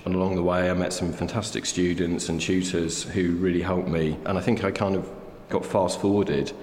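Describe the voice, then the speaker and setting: A man's voice: deep voice, level tone, normal speed, darkish tone, a little hiss or hum. A man in his fifties from the north of England, an artist talking thoughtfully about his past in an interview, with many hesitations. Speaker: artist guest. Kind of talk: reflective interview conversation. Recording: roomy hall echo, faint ambience.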